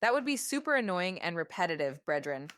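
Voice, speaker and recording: A woman's voice: lowish voice, big pitch swings, fairly quick, crowd noise. A woman's voice reading chat requests and stiff, formal replies, repeating the same odd word of address. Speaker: chat-command voice reading messages.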